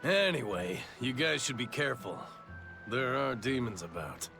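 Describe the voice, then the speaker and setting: Excitable sailor, gravelly, lively pitch swings, grumbling, folksy, working-class, game character. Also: male voice, moderate pace, soft background music, full character voice. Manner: folksy, exclamatory. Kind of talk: video game voice acting.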